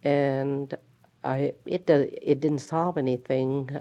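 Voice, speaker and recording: A woman schoolteacher recounting her classroom memories in an interview, speaking in a halting, reflective way. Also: very deep voice, fairly even intonation, dark tone. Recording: dull lo-fi recording.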